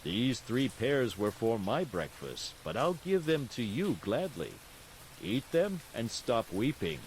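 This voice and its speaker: Man as kindly old woodcarver, slow, calm, gently lecturing, lively intonation, storybook. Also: mid-range voice, a little hiss or hum, rough recording. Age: older. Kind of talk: storybook reading.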